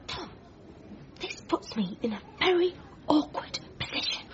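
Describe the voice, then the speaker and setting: A woman playing a brisk, exasperated and resourceful character in a radio comedy drama, speaking urgently and insistently. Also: high voice, very animated, lo-fi sound, background noise.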